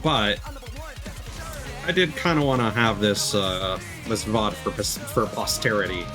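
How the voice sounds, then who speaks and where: A man's voice: lowish voice, a little slow. A man doing casual, informal live commentary as a video game streamer. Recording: music playing behind the voice. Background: video game music.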